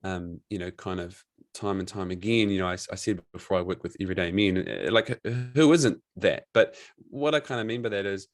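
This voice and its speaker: A man's voice: deep voice, fairly quick, no room sound, studio quality. A man in his thirties with a New Zealand accent, a coach talking frankly and casually as a podcast guest, swearing now and then. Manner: relaxed, frank, swears casually, many restarts. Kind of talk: casual interview chat.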